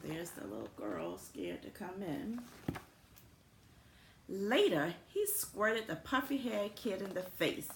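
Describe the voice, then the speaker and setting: Expressive, unhurried woman reading a storybook aloud. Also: mid-range voice. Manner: deliberate, expressive, speaking simply to young children. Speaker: elementary school principal reading aloud to students. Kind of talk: children's storybook read-aloud with friendly asides. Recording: roomy echo with faint background noise.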